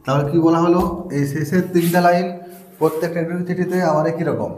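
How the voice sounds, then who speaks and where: A man's voice: a little high, fairly even intonation, a little mellow, a little hiss or hum. A man with a Bengali accent teaching a bilingual English lesson in a didactic, repetitive way, reading out and translating phrases. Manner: didactic, repetitive, slow word-by-word dictation of English. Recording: echoey room.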